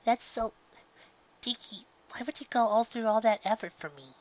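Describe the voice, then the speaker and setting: A narrator voicing a gentle, easily confused pegasus mother who stammers and repeats words in a storybook reading. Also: mid-range voice, moderate pace, highs cut off, voice pushed up, natural voice. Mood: touched, flustered.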